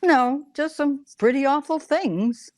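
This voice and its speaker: A woman playing a poised, gently teasing film star guesting in 1940s radio comedy. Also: high-pitched, takes it slowly. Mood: polite, teasing.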